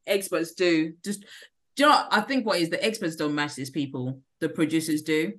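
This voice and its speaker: A woman's voice: deep voice, a little fast, fairly bright tone. A woman co-hosting a podcast, chatting casually and giving plain-spoken opinions. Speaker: podcast co-host. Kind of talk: casual chat.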